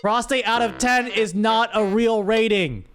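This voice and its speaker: Guy streamer w a high voice, chatting and mock exasperated, music in the back. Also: measured pace, fairly bright tone, clean tone. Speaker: streamer hosting a chat story-writing game. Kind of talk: casual livestream chat. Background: background music.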